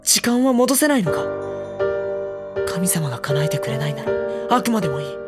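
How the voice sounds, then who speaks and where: A man playing an introspective, gentle high-school boy who narrates his troubles in an audio drama. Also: big pitch swings, normal speed. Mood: anguished. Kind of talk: Japanese drama CD acting, monologue and dialogue. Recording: studio drama with prominent music underneath. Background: prominent instrumental music.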